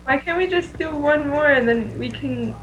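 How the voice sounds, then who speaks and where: A woman's voice: sits high, moderate pace, dull audio, slight background noise. A woman co-hosting a podcast in a casual, conversational way. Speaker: podcast co-host. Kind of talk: casual chat.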